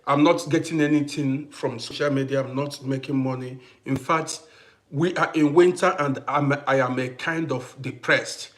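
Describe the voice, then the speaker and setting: Guy running a live stream, fairly high voice, shouts out viewers by name, loud then soft. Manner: animated, greets viewers by name, repeats himself. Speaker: livestream host. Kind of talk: casual livestream chat with viewers.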